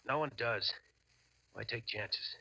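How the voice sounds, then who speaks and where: A man's voice: medium pitch, slow, vocal fry, sharp tone, slightly rough, full character voice. A man playing an injured, sardonic ex-convict bank robber in a 1940s radio drama, terse and dryly defiant. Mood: guarded calm. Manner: terse, dry, tough-talking. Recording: muffled old radio recording.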